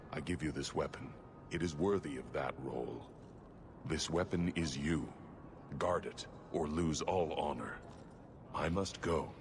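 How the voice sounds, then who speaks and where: Very deep, solemn warrior giant. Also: male voice, noisy background. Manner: terse, formal, solemn. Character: stern, solemn horned warrior giant bound by honor. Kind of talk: video game voice acting.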